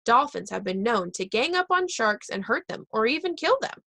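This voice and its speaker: A woman voicing an eccentric, lively woman science teacher in a storybook read-aloud, excited and giving orders. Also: a little high, moderate pace, dry recording, full character voice. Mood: explaining.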